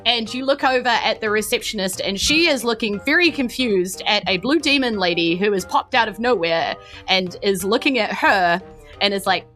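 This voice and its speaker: A woman's voice: mid-range voice, expressive, moderate pace, fairly bright tone, faint music behind. A woman improvising informal narration as a tabletop game master.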